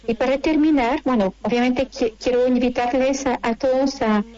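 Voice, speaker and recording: A woman with a French accent speaks as an interview guest in a high, soft-edged voice. She explains conversationally, pausing and repeating herself as she looks for the right word. The audio is dull and lo-fi. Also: fairly even intonation. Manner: halting, searching for words with repetitions. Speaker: interview guest, course director.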